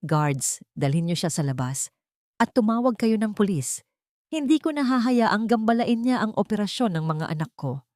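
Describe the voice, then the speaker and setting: A woman's voice: medium pitch, expressive, normal speed, a little mellow, dry recording, clean studio sound, voice pushed up, natural voice. Woman voicing a weary, stern rich father. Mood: stern commanding. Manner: tired, dismissive.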